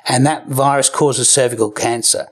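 Husky man talking haltingly. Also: medium pitch, fairly even intonation. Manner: hesitant, many fillers and restarts. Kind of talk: casual podcast chat.

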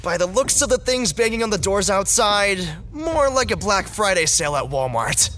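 A man's voice: sits high, sing-song, moderate pace, fairly bright tone, a little hiss or hum. A man playing a sarcastic, pessimistic wisecracker in an audio drama. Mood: sardonic. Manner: sarcastic, quipping.

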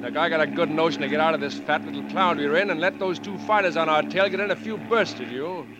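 An actor playing a cocky, flirtatious American pilot who trades teasing wisecracks in a 1940s radio drama. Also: male voice, on the high side, fast talker, close to an everyday voice. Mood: wry, cool. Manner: breezy, teasing banter. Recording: noisy old 1940s radio recording.

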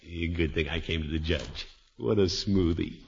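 An American actor playing a blustery, easily flustered middle-aged uncle in a theatrical 1950s radio comedy style, with drawn-out exclamations. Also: male voice, sits low, vocal fry. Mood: admiring. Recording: dull, noisy 1950s radio recording.

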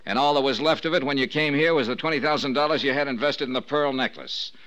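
A man's voice: medium pitch, quick pace, a bit bright, lightly acted, low-quality recording. An American man playing a sardonic, hard-boiled insurance investigator who needles and probes, in 1950s radio drama style. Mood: cool, needling. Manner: sardonic, probing. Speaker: lead actor of a detective series.

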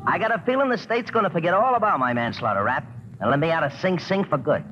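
A swaggering small-time convict in an old radio crime drama. His voice is fairly high and very animated, full of sardonic slang, on a noisy, muffled recording. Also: quick pace. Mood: boastful. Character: cocky, wisecracking New York convict on a deal.

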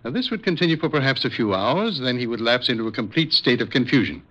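An actor playing an educated, patient, dryly amused psychiatrist in an old radio drama, speaking in a measured, formal, precise way. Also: male voice, medium pitch, lively intonation, fairly bright tone. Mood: earnest, explanatory. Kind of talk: 1950s radio drama acting. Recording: dull, lo-fi old radio recording.

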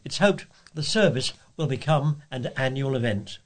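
A man's voice: medium pitch, animated, normal speed. A man reading scripted local news as a volunteer reader.

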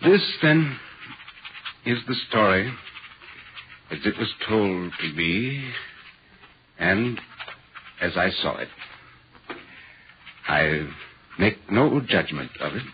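An actor playing a grave, troubled Victorian writer, narrating solemnly and dramatically in a 1940s radio drama. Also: male voice, low-pitched, sing-song, drawn-out words, long pauses, heavily acted. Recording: muffled, hissy 1940s radio broadcast.

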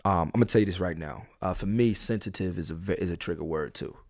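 A male podcast host from Philadelphia talks in a middle-pitched voice, fast and animated, tripping over restarts as he builds his point. His speech is loose and full of swearing. The sound is dry and close, with no room echo. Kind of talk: casual podcast chat.

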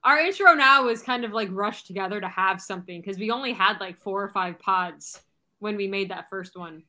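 Woman, podcast co-host, bright tone, chatty, laughs a lot, remote call. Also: mid-range voice, normal speed. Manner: chatty, laughs often, restarts. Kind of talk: casual chat. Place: home apartment.

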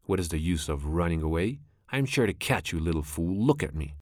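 Storybook villain: man doing a low, hissing mother cobra, slow and menacing. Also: expressive, darkish tone, no echo, studio quality. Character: vengeful female cobra, cold and menacing.

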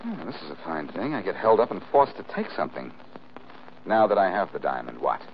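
A man's voice: low-pitched, big pitch swings, a little fast. A man playing a wry, unflappable American sleuth who deals in dry wisecracks in an old radio crime drama. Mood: dry, sardonic. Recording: muffled old radio recording, faint noise.

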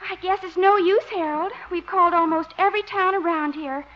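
An actress playing an eager, earnest, ingenuous office secretary in a 1950s radio sitcom. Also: female voice, very high voice, fairly quick, music in the background. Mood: helpful. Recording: muffled, hissy vintage radio broadcast.

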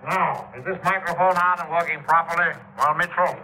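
An actor playing a vain, domineering old Shakespearean actor who declaims grandly and imperiously in 1950s radio drama style. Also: male voice, a little high, fairly even intonation, fairly quick, sharp tone, low-quality recording. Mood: imperious, indignant. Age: older.

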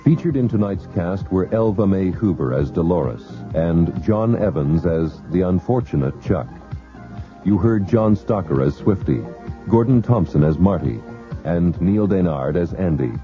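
Deep-voiced formal radio announcer man. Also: lively intonation. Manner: measured, formal. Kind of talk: reading closing credits. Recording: dull lo-fi radio recording with music.

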